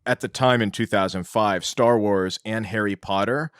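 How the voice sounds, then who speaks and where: A man co-hosting a podcast, talking through film history in a measured, explanatory way. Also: lowish voice, steady tone, no room sound, clean studio sound. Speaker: podcast co-host. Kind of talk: informed film-history discussion.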